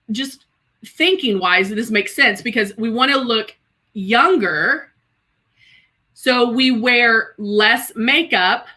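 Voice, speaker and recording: A woman of about fifty with a slightly high, very bright voice hosts a live beauty tutorial. She chats casually and exclaims freely, speaking directly to her viewers as she works. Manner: chatty and exclamatory, addressing viewers as girl and guys. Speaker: host of a live makeup tutorial stream. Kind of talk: casual livestream chat while demonstrating makeup and reading viewer comments.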